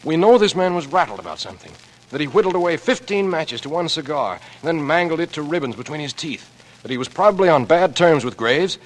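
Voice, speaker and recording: A man's voice: a little high, lively intonation, fast, close to an everyday voice. An actor playing an anxious, earnest young American man turned amateur sleuth in 1940s radio drama, speaking in terse, clipped deductive phrases. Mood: determined. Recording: noisy old radio drama recording.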